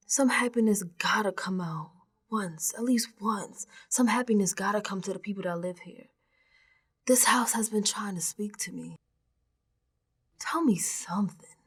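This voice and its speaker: An actress playing a troubled, defiant young American woman who is unravelling, confiding her story in a dramatic radio monologue that swings from hushed to emphatic. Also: mid-range voice, expressive, measured pace, pauses often, breathy edge. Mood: brooding, determined. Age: young adult.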